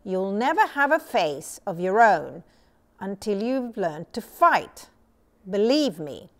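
A woman's voice: medium pitch, very animated, takes it slowly, fairly bright tone, heavily acted. A woman voicing a fierce, bossy little girl from a storybook, scornful and emphatic. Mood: menacing.

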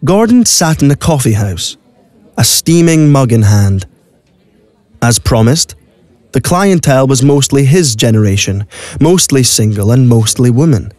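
A man's voice: mid-range voice, expressive, measured pace, darkish tone, no room sound. A man reading scripted story narration for an audio play. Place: recording studio.

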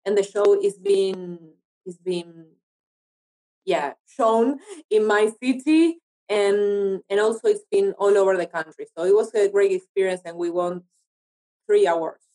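A woman's voice: medium pitch, unhurried, warm tone, rough recording. A woman from Argentina with an Argentine Spanish accent, chatting enthusiastically as a guest in a casual interview. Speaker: interview guest, actress and TV producer.

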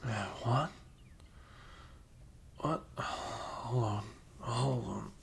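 A man's voice: drawn-out words, vocal fry. A young man playing a sleepy, caring, teasing best friend in an intimate ASMR roleplay, murmuring drowsily in casual slang. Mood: drowsy, confused. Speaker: audio roleplay performer. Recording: low rumbling background noise. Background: faint rumble and blanket noises.

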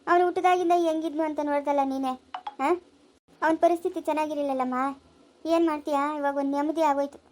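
A woman's voice: sits high, steady tone, fast, a little mellow, close to an everyday voice. A woman playing an elderly village neighbour in a drama, consoling in a blunt, fatalistic way with a rural southern Karnataka Kannada accent.

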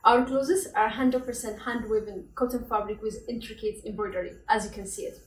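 A woman's voice: fairly high pitch, steady tone, brisk. A woman in her thirties with an Ethiopian accent, an actress and nurse giving a careful prepared statement. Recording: echoey room, mic at a distance.

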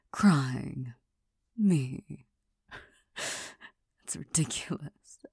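A prickly young girlfriend who hides her tenderness, as a woman plays her in an audio roleplay. Her voice is low and very husky, and she half-whispers slowly with little stammers and shy laughs. The sound is dry and close, with no room around it. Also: lively intonation, darkish tone, no echo, exaggerated voice. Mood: vulnerable, defensive. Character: proud, touchy young girlfriend hiding tenderness. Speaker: voice actor in a listener roleplay.

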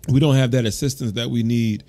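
A man's voice: mid-range voice, fairly even intonation, a little fast, warm tone. A man who works as a personal trainer, hosting a solo fitness podcast, reading from an article and breaking off to ramble, correct himself and encourage listeners.

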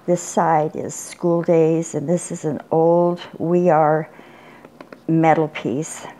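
An older woman showing off a handmade craft project in an unhurried, plain way, with small pauses and restarts. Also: sits low, little pitch movement, warm tone. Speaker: crafter showing her work. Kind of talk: casual show-and-tell. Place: indoors at a table, bedroom. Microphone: phone camera filming from above.

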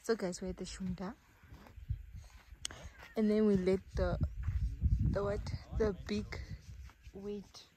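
A woman's voice: medium pitch, flat delivery, slow pace, long pauses. Chatty young woman vlogging outdoors. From Namibia. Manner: chatty, laughing asides. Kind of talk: casual vlog chat to camera. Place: outdoors on a rural cattle farm. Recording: noisy outdoor phone audio.

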